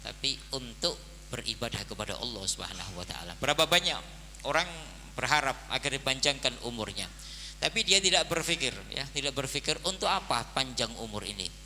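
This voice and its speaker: Man in his forties, boarding school head, slow measured sermon, very bright tone, reverberant hall, faint hum. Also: medium pitch, lively intonation. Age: 40s. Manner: slow, measured preaching with the repeated filler "nah, ini". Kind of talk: birthday speech and sermon to students.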